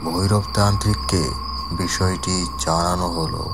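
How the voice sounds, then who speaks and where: A man's voice: sits low, fairly even intonation, normal speed, over loud music. A man narrating a scripted horror story, delivering it dramatically in short, broken phrases.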